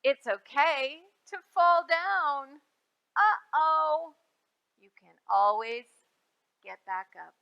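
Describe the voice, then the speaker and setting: Bright, high-voiced woman reading to small children. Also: sing-song, gravelly, highs cut off. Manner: sing-song, exaggerated emphasis with drawn-out phrases; prompts young listeners with simple questions. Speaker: children's librarian and storytime host. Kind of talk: read-aloud storytime for young children.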